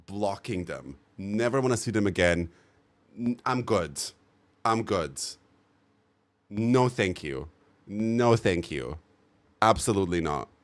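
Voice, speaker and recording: A man streaming live, chatting casually with viewers in an animated way, swearing freely and laughing often. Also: on the low side, slow, long pauses.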